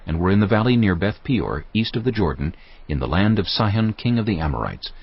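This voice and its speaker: A man narrating scripture from a script in a measured, formal storytelling manner. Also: lowish voice, expressive, highs cut off.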